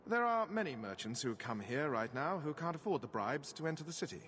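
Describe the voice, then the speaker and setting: A man's voice: fairly high pitch, big pitch swings, a little fast, a bit bright, slight background noise. An actor playing a self-serving merchant in a video game, unctuous and defensive in his talk. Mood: smug. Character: slick, self-serving, apologetic male merchant. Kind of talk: video game dialogue acting.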